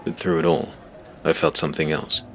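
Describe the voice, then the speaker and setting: A man narrates a dramatic first-person story in a low voice. His delivery is scripted, grave and measured, with soft music playing underneath. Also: flat delivery.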